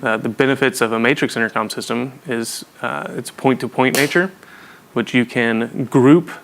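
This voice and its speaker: A man's voice: mid-range voice, normal speed, fairly bright tone, a little hiss or hum. A man presenting an informal technical lesson, explaining things in a casual, plain-spoken way. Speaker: presenter of a technical training session.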